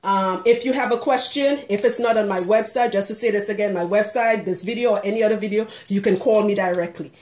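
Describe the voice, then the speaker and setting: A woman's voice: medium pitch, brisk, few pauses, darkish tone, roomy sound. A woman from Trinidad with a Trinidadian accent, talking casually and outspokenly to her viewers as a hair care consultant, laughing often. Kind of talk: casual vlog talk to camera.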